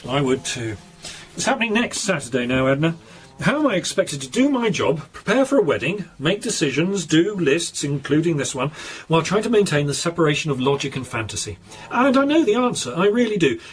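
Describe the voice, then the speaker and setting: An actor with a British accent playing a brisk, self-absorbed, flippant investigator in a radio drama, fussy and theatrical. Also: male voice, a little high, big pitch swings. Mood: harried, self-absorbed.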